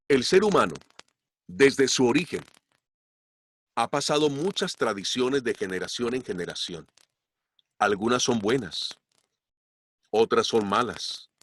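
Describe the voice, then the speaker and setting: A man preaching a sermon as pastor, slowing down and pushing up his voice to stress key points. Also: lowish voice, fairly bright tone, a little hiss or hum. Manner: emphatic, slows down to stress points. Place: church.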